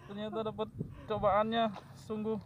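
A high-pitched man chatting excitedly to his viewers while fishing in a grassy marsh. The outdoor recording carries loud background noise. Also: moderate pace, narrow, tinny audio. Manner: excited, joking, addresses viewers. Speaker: eel fishing vlogger. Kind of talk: casual outdoor fishing commentary. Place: outdoors in grassy wetland by a ditch.

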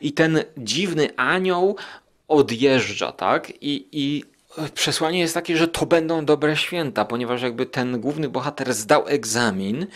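A man's voice: medium pitch, measured pace, fairly bright tone. A man hosting a podcast, reviewing things in a casual, hesitant and digressive way. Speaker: podcast host.

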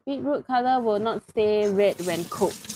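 A woman's voice: medium pitch, measured pace, dark tone. A woman co-hosting from behind the camera in a kitchen, upbeat and giggly, relaying viewer questions. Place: home kitchen, indoors. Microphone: camera mic, behind the camera.

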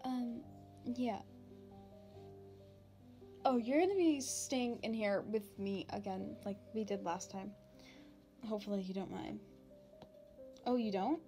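A woman voicing a shy young demon princess in an ASMR roleplay, talking informally and haltingly to the listener. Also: mid-range voice, mellow. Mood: chatty, excited. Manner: hesitant, halting, informal with long pauses. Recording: background music.

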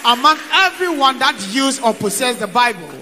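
High-voiced man interpreting a sermon. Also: moderate pace, background noise. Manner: steady, declarative translating. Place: large church hall, indoors. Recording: long hall reverb.

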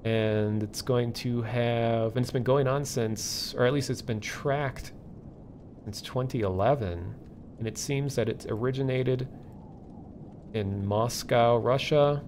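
Man explaining casually, faint rumble behind. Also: darkish tone. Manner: halting, thinking aloud. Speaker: livestream host. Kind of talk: casual live explainer, unscripted.

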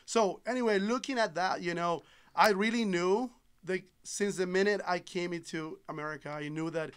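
A man's voice: sits high, normal speed, smooth. A man in his thirties from Ecuador, a native Spanish speaker, chatting agreeably as a podcast guest. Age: 30s. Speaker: podcast guest, solar business owner. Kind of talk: casual interview chat. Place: small padded podcast studio. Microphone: boom-arm studio microphone.

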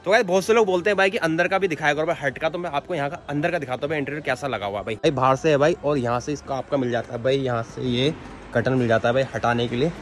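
A man's voice: medium pitch, rapid-fire, faint music behind. A man in his thirties giving a chatty walking tour for his vlog, speaking into a handheld selfie camera. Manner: chatty, says "guys" and "bhai" constantly. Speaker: vlogger. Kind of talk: casual travel vlog tour. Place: outdoors on a scooter and walking through a cafe garden.

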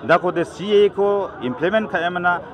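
A man's voice: high voice, a little fast. A middle-aged man with a Northeast Indian accent, speaking as a political candidate in an argumentative, emphatic press interview. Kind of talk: press interview, mixing in English. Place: outdoors at an evening gathering. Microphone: handheld news microphones held close. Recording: busy outdoor crowd behind voice. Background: crowd noise.

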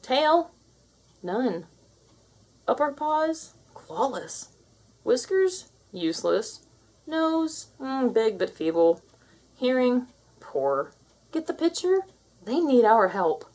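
A smug alpha cat from a children's storybook, voiced by a woman, holds forth with theatrical pride. The delivery is unhurried, with a very lively, swinging pitch. Every phrase is boastful and sassy. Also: heavily acted. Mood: scornful. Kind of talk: children's storybook read-aloud.

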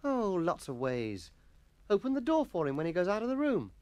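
Man voicing an excitable, high-pitched English schoolboy. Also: normal speed, clear, resonant tone, dry recording, dull audio. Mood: eager, earnest. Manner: over-polite, excitable, schoolboy slang. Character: eager, earnest English schoolboy, full of cheek.

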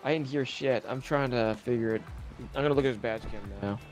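Male roleplay officer, mid-pitched, blunt casual talk, music behind. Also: fast talker. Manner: blunt, sweary. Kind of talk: casual improvised game roleplay chat.

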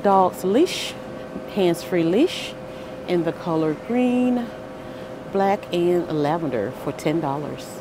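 Woman shopping vlogger, unhurried and animated, phone-recorded in a noisy store. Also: fairly low pitch. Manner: upbeat, listing items and prices with little exclamations. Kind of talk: shop-with-me price walkthrough. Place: aisles of a large grocery store, indoors. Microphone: handheld phone camera.

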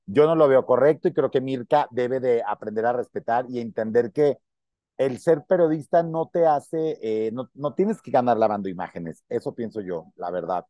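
A man's voice: medium pitch, moderate pace, warm tone, no echo, muffled recording. A man in his thirties with a Mexican accent, hosting a casual celebrity gossip show and giving opinions, often repeating words as he searches for the next one. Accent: Mexican Spanish. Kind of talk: casual gossip commentary to camera. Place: indoor room with plants behind. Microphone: earphone mic, webcam framing.

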